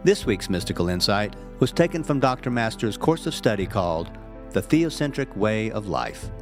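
A man reading a scripted inspirational message as a reverend, in a measured, earnest and carefully phrased way. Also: fairly low pitch, sing-song. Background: background music.

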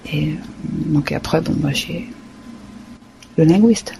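A woman's voice: low voice, slow pace, creaky, background noise. A woman in casual conversation, talking reflectively and thinking aloud about her own learning. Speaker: adult language learner.

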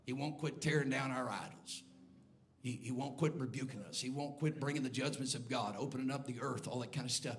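A man preaching a sermon in a church, swinging between low, hushed lines and loud, near-sung calls to the congregation. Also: brisk. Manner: builds from quiet, low delivery to loud, near-sung shouting. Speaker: bishop preaching. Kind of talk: Pentecostal sermon. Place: church sanctuary before a congregation.

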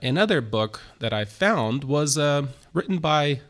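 A middle-aged man with a Turkish accent, a church planter telling his story as an interview guest, speaking haltingly with many fillers and restarts. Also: on the high side, lively intonation, fairly bright tone. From Turkey.

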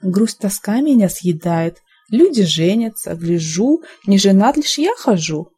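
A female reader plays a young storybook prince, wistful and in love. The voice is mellow and a little husky, speaking verse earnestly with a lively rise and fall. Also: medium pitch, measured pace, exaggerated voice. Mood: melancholy. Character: young fairy-tale prince, lovesick, earnest and melancholy.

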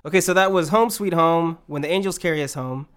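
A man's voice: a little high, fairly quick, background noise. A man guesting on a casual music podcast, giggling and mocking himself as he banters. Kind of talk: casual chat.

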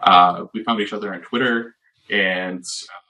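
A man's voice: low voice, steady tone, measured pace, bright, forceful tone, poor audio. A man co-hosting a podcast in casual chat, rambling with many fillers and restarts. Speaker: podcast co-host.

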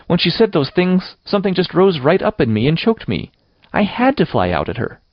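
A passionate young girl from a storybook, acted out by a man, stubborn and wounded. The voice is lifted high and swings about with tearful, defiant drama. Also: wildly swooping pitch, fairly quick, no echo, muffled recording. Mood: tearful, wailing.

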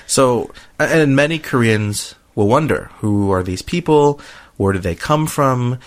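A middle-aged American man hosting a casual conversational podcast, talking in a hesitant, halting way. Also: mid-range voice, animated. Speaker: podcast host.

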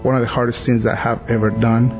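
A man with a Mexican accent giving a recorded testimony, speaking in a quiet, halting, matter-of-fact way. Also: mid-range voice, level tone, gravelly. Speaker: church member giving testimony. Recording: lo-fi video with music underneath. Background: music.

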